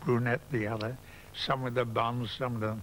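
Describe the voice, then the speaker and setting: A man's voice: medium pitch, little pitch movement, normal speed, a little hiss or hum, low-quality recording. A man fondly reminiscing about pantomime dames in an interview, emphatic in his praise. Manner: warm, fond, emphatic. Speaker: interviewee, theatre insider.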